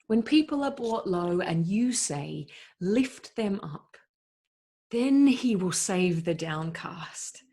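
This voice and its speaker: Woman as slow, husky, pious counselor. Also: on the low side, animated, dark tone, exaggerated voice. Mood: earnest exhortation. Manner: deliberate, emphatic, measured phrasing. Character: solemn, pious counselor exhorting a sufferer to repent. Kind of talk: dramatic scripture reading.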